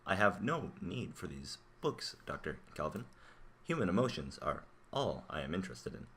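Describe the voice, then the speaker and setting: A man acting as a mind-reading robot in a radio play, calm and blunt, with a measured, formal delivery. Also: deep voice. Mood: calm, matter-of-fact. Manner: measured, matter-of-fact, formal. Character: mind-reading male robot, calm, blunt, curious about human emotions.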